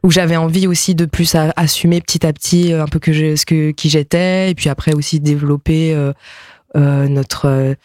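Hesitant, high-voiced man in dry sound. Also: steady tone, normal speed, a little mellow, dry recording. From France, near Nantes. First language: Japanese. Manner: hesitant, many fillers and restarts. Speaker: musician guest. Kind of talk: casual interview talk.

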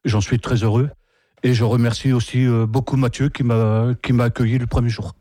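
A man gives simple, earnest answers about his volunteering. His voice is mellow, recorded dry and close. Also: mid-range voice, level tone, a little fast, no room sound.

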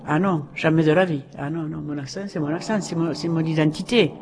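A man's voice: on the high side, brisk, background noise. A man, emphatic and exclamatory.

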